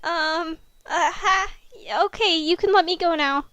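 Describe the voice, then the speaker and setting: A woman plays a scared little boy of seven in a comic story reading. The voice is very high and whiny, wailing and whimpering, with a dry, close sound. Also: measured pace, bright, forceful tone, dry recording, exaggerated voice. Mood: pleading. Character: frightened, whiny seven-year-old boy in pain.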